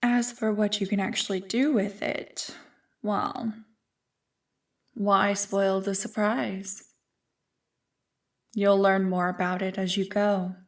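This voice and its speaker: A woman voicing an ancient, evil primordial being in an ASMR roleplay, smug and tempting, with a deliberate, taunting delivery. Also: mid-range voice, level tone, far from the mic. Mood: sly, commanding. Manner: slow, deliberate, taunting, coolly amused.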